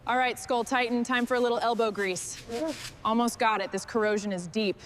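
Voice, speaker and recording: A woman plays a determined female mechanic with a fairly high voice. She talks in terse bursts, muttering to herself or directing a crew. Clear machinery noise runs behind her. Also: fairly even intonation, brisk, natural voice. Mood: focused. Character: hands-on female heavy truck restorer, gritty and determined. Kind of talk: scripted work chatter. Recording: noisy, with vehicle and machinery sounds.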